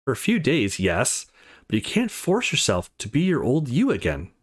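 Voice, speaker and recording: A caring young woman in a visual novel, read out by a man. She is blunt and worried, chiding as she goes, in a very lively, swinging delivery. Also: medium pitch, normal speed.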